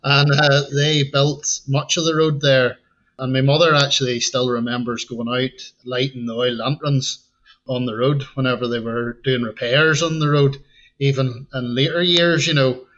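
A man's voice: medium pitch, steady tone, moderate pace, fairly bright tone, highs cut off. Man with a Northern Irish accent. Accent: Northern Irish, Ulster Scots tinge. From Northern Ireland. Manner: easygoing, chuckles often. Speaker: podcast guest, tour guide. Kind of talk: casual interview chat.

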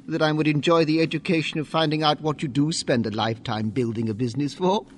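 A man's voice: on the high side, fast, a little hiss or hum, close to an everyday voice. An actor playing an ambitious, persuasive young businessman, formal and insinuating, in a radio drama. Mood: calculating, persuasive. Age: young adult. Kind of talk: family radio drama acting.

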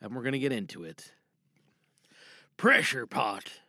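Lively, rambling male podcast host. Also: medium pitch, measured pace, no room sound, studio quality. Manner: rambling, self-interrupting. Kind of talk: casual off-the-cuff hosted introduction. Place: small dry booth.

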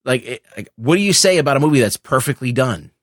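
Middle aged guy on a movie podcast, average pitch, stumbles around his sentences, casual and sweary. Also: expressive, dry recording, studio quality. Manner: halting, circling phrases, casual swearing. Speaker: podcast co-host discussing films. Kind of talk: casual opinionated film chat.

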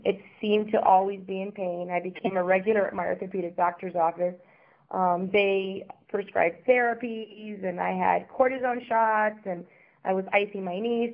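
A woman in her forties from Miami, a podcast guest talking in a chatty, warm way with frequent ums. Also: medium pitch, gravelly. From Miami, Florida. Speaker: interview guest. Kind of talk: podcast interview. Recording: tinny phone line.

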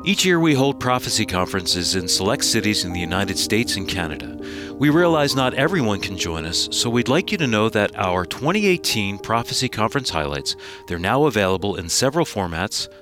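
A man working as a radio announcer, reading a scripted promotional message in a measured, clearly enunciated way. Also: on the low side, a bit bright.

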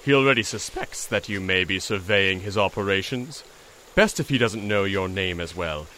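Man as huge talking toad, dignified, riddling, dry wit, formal measured delivery, lively intonation, audio drama. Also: sits low, slight background noise, low-quality recording. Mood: stern. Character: enormous talking male toad, cryptic, dignified, dryly witty.

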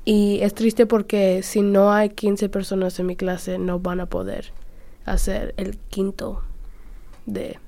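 A woman's voice: mid-range voice, slow, mellow. A teenage girl, a student, talking casually in a group discussion and steering the conversation with questions. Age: teens. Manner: chatty, leads the talk, asks others questions.

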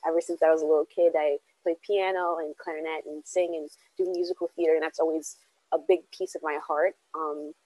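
A woman's voice: sits low, level tone, a little fast, dark tone, no echo, rough recording. A young woman, a college freshman, answering interview questions earnestly, with frequent ums and restarts. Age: young adult. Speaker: interview guest.

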